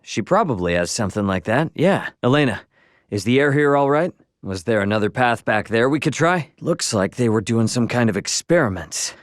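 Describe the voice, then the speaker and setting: A man's voice: mid-range voice, expressive, moderate pace, no room sound, clean studio sound, natural voice. A man playing a brash, casual young video game adventurer, slangy and wry, impatient but good-hearted. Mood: curious, wary. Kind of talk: video game character voice lines.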